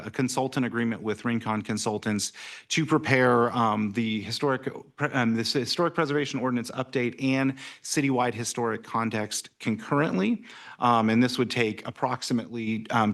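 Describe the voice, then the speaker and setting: A man from city staff presenting a report at a council meeting, halting with many fillers and restarts. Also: mid-range voice.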